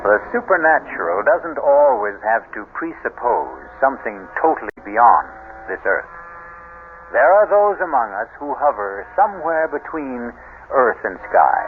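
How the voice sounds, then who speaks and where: A man hosting an old-time radio mystery series with measured, formal, portentous scripted narration. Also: on the low side, sing-song. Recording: muffled noisy old radio broadcast.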